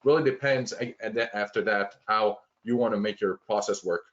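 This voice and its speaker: A man walking through planning software on a shared screen, explaining step by step with frequent fillers and restarts. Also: lowish voice, moderate pace, muffled recording. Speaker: software trainer.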